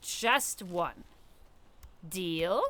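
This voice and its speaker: A woman voicing a brash, scheming young girl cadet in an animated comic dub, with an expressive, wheedling manner. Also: lowish voice, very slow, exaggerated voice. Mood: bargaining. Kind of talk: animated fandub comic voice acting.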